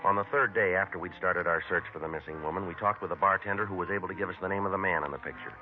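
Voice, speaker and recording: An actor playing a terse police detective who narrates a case in a clipped, deadpan, matter-of-fact way, in a 1950s radio crime drama. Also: male voice, low voice, very fast, natural voice. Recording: tinny vintage radio broadcast.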